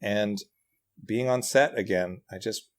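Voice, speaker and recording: A middle-aged man with a deep voice speaks as a guest in a relaxed conversation. He is self-deprecating and hesitant, often circling back as he tells a personal story. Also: slow. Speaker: podcast guest, writer and comedian. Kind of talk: casual conversational anecdotes.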